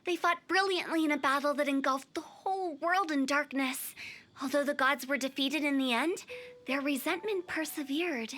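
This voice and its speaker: Bright, high-voiced theatrical young woman. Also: normal speed. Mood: storytelling. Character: confident, theatrical young woman, a funeral parlor exorcist. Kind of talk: anime video game voice acting.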